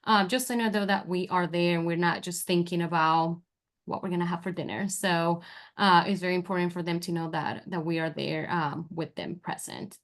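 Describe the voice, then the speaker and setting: A young woman with a Colombian accent, a forensic interviewer presenting a webinar and explaining training clips in a relaxed way, with frequent fillers. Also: on the low side, moderate pace. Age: young adult. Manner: relaxed, with many fillers and restarts.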